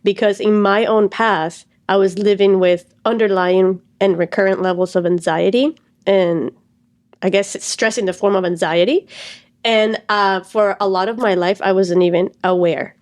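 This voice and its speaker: A woman's voice: mid-range voice, steady tone, moderate pace, a little mellow. Cuban-born woman coach, earnest. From Cuba. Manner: earnest, explains at length with fillers. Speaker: stress and anxiety coach, guest. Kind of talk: reflective interview talk.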